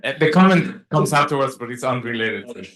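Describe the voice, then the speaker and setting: A man asking a question from the audience as a professor, halting as he searches for words. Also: mid-range voice, expressive, crowd noise. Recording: echoey event room.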